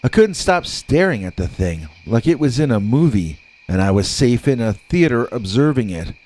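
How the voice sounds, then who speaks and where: A man reading scripted scary stories as a narrator, with dramatic, deliberate delivery and drawn-out words. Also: medium pitch, big pitch swings, a little mellow.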